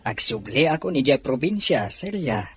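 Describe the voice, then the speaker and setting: A woman's voice: very deep voice, big pitch swings, moderate pace. A woman playing a caring, encouraging father in an audio drama. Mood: grateful, earnest. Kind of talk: audio drama dialogue in Ilocano.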